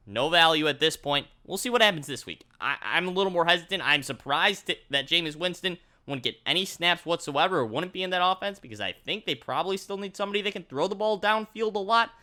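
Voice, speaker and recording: A man's voice: fairly high pitch, sharp tone. A man presenting a fantasy football update, mixing news with his own commentary.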